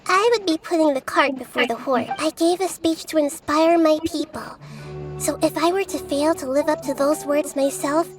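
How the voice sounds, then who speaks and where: A woman playing a gentle, thoughtful young girl deity in a video game, speaking in a measured, earnest way. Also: high voice, lightly acted. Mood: reflective, wistful. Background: background music.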